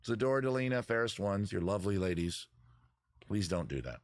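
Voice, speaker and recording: A man's voice: lowish voice, expressive, a little fast, dry recording. Rambling man hosting a casual livestream, dry sound. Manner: rambling, self-deprecating, swears freely. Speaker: host of a live music and chat show. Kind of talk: casual livestream chat with viewers, some improvised singing. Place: at home in the backyard.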